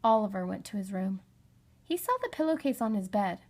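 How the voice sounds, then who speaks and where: A woman narrating a children's storybook in an expressive read-aloud style. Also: medium pitch, brisk. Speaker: storybook reader.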